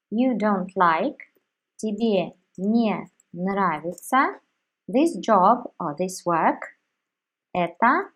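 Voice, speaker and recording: A woman teacher speaks at an extremely slow, measured pace. She gives each word on its own, with long pauses between them. Her voice sits at a middle pitch. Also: low-quality recording. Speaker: language teacher. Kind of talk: slow, deliberate language lesson.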